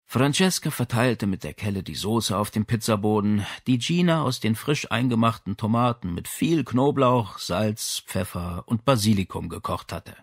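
Male narrator, literary crime fiction, audiobook reading, animated intonation, very dry recording. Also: lowish voice, moderate pace, hardly any pauses, no room sound.

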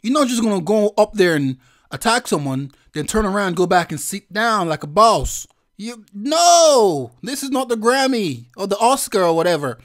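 A man giving a profane, slangy reaction commentary as a vlogger, with loud laughing outbursts. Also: high voice, very animated, moderate pace.

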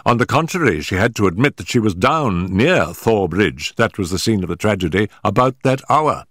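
A man reading an audiobook, voicing a brisk, sharp-minded male detective who sets out facts in a measured, emphatic way. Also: lowish voice, expressive, dry recording, clean studio sound, voice pushed up. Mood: brisk, reasoning.

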